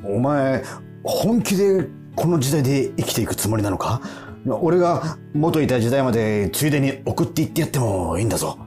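A man's voice: mid-range voice, sing-song, moderate pace, mellow, faint music behind, lightly acted. Man acting blunt time patrol officer. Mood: gruff, concerned. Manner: blunt, brusque. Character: gruff time patrol officer, reluctantly lenient. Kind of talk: radio drama acting.

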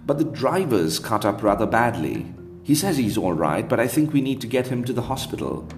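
A man's voice: mid-range voice, sing-song, fairly quick, voice pushed up. A man reading aloud voices an anxious, deferential British equerry who speaks politely and hesitantly, with faint guitar music behind. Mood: subdued. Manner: polite, hesitant, keeps saying sir.

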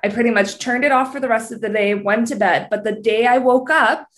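A woman's voice: fairly high pitch, brisk. A young adult woman with a Canadian accent, a podcast guest chatting candidly and laughing as she tells her story. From Canada, British Columbia. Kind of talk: casual chat.

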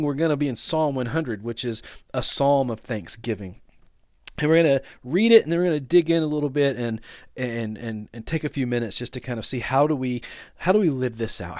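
A man preaching a sermon in a relaxed, chatty way, often restarting his sentences. His voice sits at a middle pitch and is recorded close and dry, with no room echo. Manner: casual, halting, many restarts and fillers. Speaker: pastor. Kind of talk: conversational sermon with personal story.

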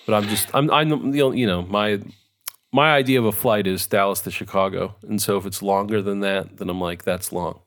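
A man's voice: on the low side, steady tone, fairly quick, a bit bright. A middle-aged man co-hosting a podcast, rambling in a wry, chatty way and laughing often. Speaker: podcast co-host. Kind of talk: casual chat.

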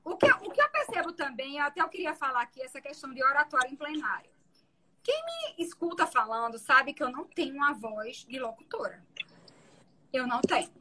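A woman defense lawyer with a soft voice, explaining her courtroom methods in an interview. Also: sits high, big pitch swings, a little fast, a little hiss or hum, poor audio.

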